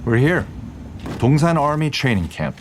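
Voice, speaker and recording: A man's voice: mid-range voice, big pitch swings, moderate pace, close to an everyday voice. An actor playing a stern, dutiful male company deputy in an audio drama, matter-of-fact and admonishing. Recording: loud vehicle noise behind.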